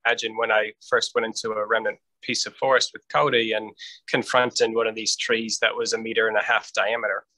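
A middle-aged man from New Hampshire talks steadily through a headset on a video call. His tone is flat and even, with a bright, forceful edge. The sound is dry and close, and he pauses often on ums and restarts. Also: fairly low pitch, dry recording, low-quality recording. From New Hampshire, United States. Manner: measured, thoughtful, with frequent ums and restarts. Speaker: guest scientist. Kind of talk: interview over video call. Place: lit home room, indoors.

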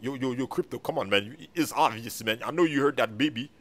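A man's voice: mid-range voice, quick pace, a bit bright, pitched below the performer's own voice, lightly acted. Man doing a blunt, muttering male sidekick. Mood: skeptical. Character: blunt, mischievous, rude male sidekick in comedy skit. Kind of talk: comedy skit.

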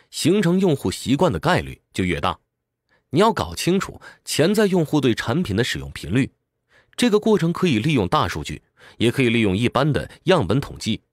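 A man narrates an explanatory script with highly animated, swinging intonation. He lays out points in an orderly, instructive manner, like a book-summary presenter. The recording is dry and close, with a mid-range voice. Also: no echo.

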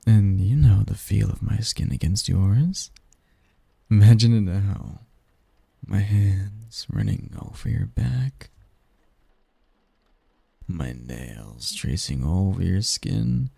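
A man voicing a seductive, doting sleep demon lover in an intimate ASMR roleplay, breathy and coaxing, close to the mic. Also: on the low side, dark tone, no echo, full character voice. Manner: slow, coaxing, teasing, murmured. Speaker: roleplay audio performer. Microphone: close, dry microphone.

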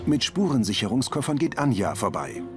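A man's voice: medium pitch, very animated, fairly quick, music in the background. A man narrating a scripted audio description in terse sentences.

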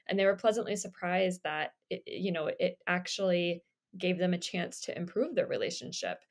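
A young woman interviewer and co-host, chatting casually with lots of fillers over a webcam in a bedroom. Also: lowish voice. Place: bedroom indoors. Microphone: webcam on video call.

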